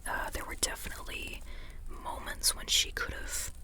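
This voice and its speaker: A person whispering an informal, rambling ASMR book chat in a breathy, unvoiced voice, like a friend talking on and on. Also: normal speed. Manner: informal, rambling, often checking in with "you know". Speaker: book reviewer and artist.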